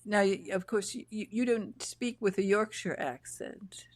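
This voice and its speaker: A woman's voice: medium pitch, unhurried, dry recording. A woman host, living in Texas, chatting and reminiscing effusively while she interviews an author. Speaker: show host. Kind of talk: casual interview chat. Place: home in Texas. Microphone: video call.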